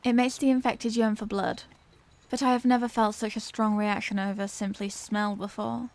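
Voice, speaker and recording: A woman's voice: medium pitch, little pitch movement, normal speed, darkish tone, natural voice. A woman voicing an anguished, tender Victorian lady vampire in an intimate audio roleplay, speaking in formal, earnest phrases. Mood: grave, confessional. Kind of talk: scripted audio roleplay, intimate dramatic monologue.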